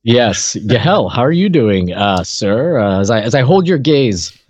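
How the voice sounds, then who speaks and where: A man's voice: medium pitch, very animated, unhurried, a little mellow, no echo. A man co-hosting a podcast over an online call, chatting casually in a joking, mock-serious way. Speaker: podcast co-host. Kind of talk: casual chat. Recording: dull, slightly lo-fi call audio.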